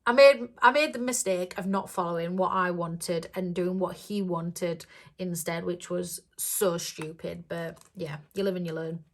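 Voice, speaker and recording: A woman chatting casually to camera about budgeting, rambling with lots of ums and little giggles, her voice a bit croaky. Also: lowish voice, unhurried. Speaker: budgeting vlogger.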